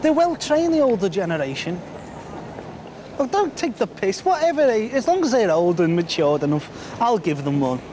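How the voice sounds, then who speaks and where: Brash, high-voiced young man. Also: big pitch swings, moderate pace, warm tone, slight background noise. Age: 20s. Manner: brash and crude, swears freely. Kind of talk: casual interview chat.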